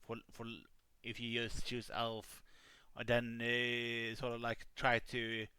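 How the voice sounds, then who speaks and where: A man hosting a live stream, helping players make characters and talking in a halting way with many fillers. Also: medium pitch, clean tone, no echo. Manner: says he has a calming voice. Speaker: streamer running a game. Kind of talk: casual live-stream chat.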